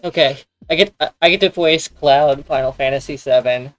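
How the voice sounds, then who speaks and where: A man with a slightly high voice co-hosts a gaming session. His banter is casual and drily joking, and music plays in the background. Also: normal speed, fairly bright tone, no room sound. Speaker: gaming channel co-host. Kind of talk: casual banter during gameplay.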